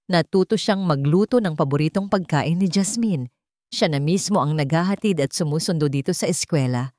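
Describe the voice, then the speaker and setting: A woman narrating a scripted love story in a dramatic, measured storytelling manner. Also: fairly low pitch, darkish tone, dry recording, clean studio sound.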